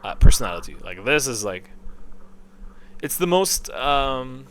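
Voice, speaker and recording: A man's voice: mid-range voice, sing-song, a little slow. A man giving casual, rambling tier-list commentary, thinking aloud and often answering his own questions. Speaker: video creator ranking game voice lines. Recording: clear background noise.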